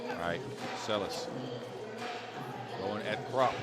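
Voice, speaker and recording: A man's voice: lowish voice, slow, loud music behind the voice. A man giving conversational play-by-play commentary on a live basketball game, lifting his voice on big plays. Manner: conversational, excitable on big shots.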